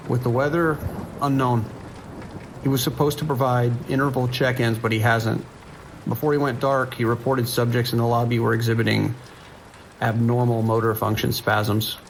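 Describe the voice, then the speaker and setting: Police dispatcher male actor, audio drama. Creaky mid-range voice, procedural, tense and clipped, light rain noise. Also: mid-range voice, little pitch movement, normal speed, lightly acted, poor audio. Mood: tense, worried. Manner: clipped, procedural radio speech.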